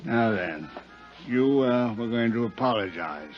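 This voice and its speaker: A man's voice: mid-range voice, lively intonation, measured pace, over loud music, natural voice. An actor playing a drunk, world-weary old man with formal, florid phrasing in a 1950s radio drama. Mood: drily cynical. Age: older. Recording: muffled 1950s radio recording.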